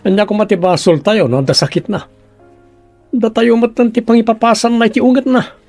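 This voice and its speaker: A woman's voice: a little high, fairly quick, dark tone, no room sound, soft background music. A woman acting in a radio drama as a sympathetic, respectful younger member of a household. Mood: sympathetic, agreeable.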